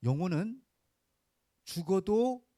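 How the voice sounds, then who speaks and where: A man's voice: high-pitched, expressive, takes it slowly, mellow, no room sound, clean studio sound. A man preaching a conversational Sunday sermon as a pastor, in a folksy, informal way, often putting short rhetorical questions to his listeners. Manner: asks rhetorical questions and prompts listeners for answers.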